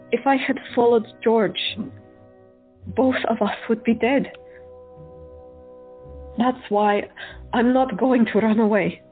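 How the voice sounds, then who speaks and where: A woman's voice: a little high, close to an everyday voice. An actress playing a devoted woman doctor who speaks tenderly and earnestly in an audio drama. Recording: phone-like lo-fi.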